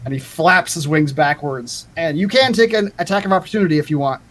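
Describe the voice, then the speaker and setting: A man's voice: a little high, fairly quick, a bit bright. A man acting as game master, narrating scenes and rulings in a tabletop roleplaying game.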